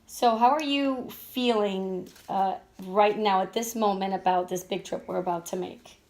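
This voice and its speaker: A woman's voice: mid-range voice, unhurried, a little mellow. A woman from Venezuela hosting a casual family vlog, rambling a bit with restarts and fillers as she talks. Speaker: vlog host and stay-at-home mom.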